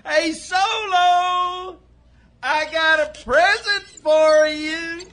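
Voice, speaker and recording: Shrill, shouting western outlaw. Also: male voice, lively intonation, slow, full character voice. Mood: defiant. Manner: shouting, taunting. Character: brash, sneering male outlaw villain of a comic western. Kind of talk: comic western audio drama acting.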